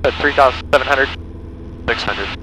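A man's voice: mid-range voice, moderate pace, sharp tone, rough recording. A young man chatting casually about the flight as a pilot on an aviation headset. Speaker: pilot talking in the cockpit. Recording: clear aircraft engine noise, intercom audio.

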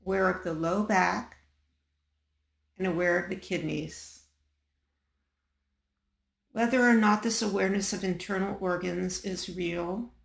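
A woman guiding a body scan meditation in a calm, measured manner, leaving long pauses between short phrases. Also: medium pitch, creaky, roomy sound. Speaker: meditation guide.

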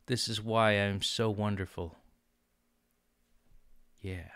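A young man chatting casually on a live stream, jokingly boastful, reading chat aloud and trying out halting beginner Portuguese in a small home room on a close studio mic. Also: fairly low pitch, steady tone, fairly bright tone, clean tone, no room sound. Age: young adult. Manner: jokey, playfully boastful, mixes in halting learner Portuguese. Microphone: studio mic on arm with headphones.

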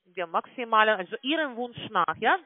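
A woman with a non-native German accent gives an explanatory phone sales pitch as a call-centre agent, often adding tag questions to confirm. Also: animated, normal speed, dry recording. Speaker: call-centre agent selling online trading. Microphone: telephone call. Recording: tinny, band-limited phone line.

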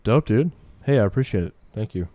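A man's voice: on the low side, expressive, brisk, dark tone. A man commentating casually on his game livestream, chatty, slangy and a little hesitant.

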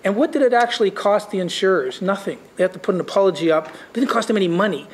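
A man's voice: high voice, lively intonation, quick pace, a little hiss or hum. Blunt man in an echoey room. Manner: blunt, emphatic, rhetorical questions. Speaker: law panelist. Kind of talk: conference panel discussion. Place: conference room. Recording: roomy echo.

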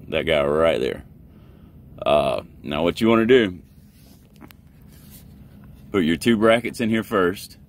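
Deep-voiced man, casual how-to vlog. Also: takes it slowly, long pauses. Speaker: truck driver and vlogger. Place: inside a truck cab.

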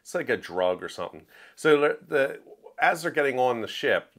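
A man's voice: medium pitch, big pitch swings, normal speed, fairly bright tone. A man hosting a review show, talking in a casual, opinionated monologue and getting emphatic when he makes a point. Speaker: host of a book review show.

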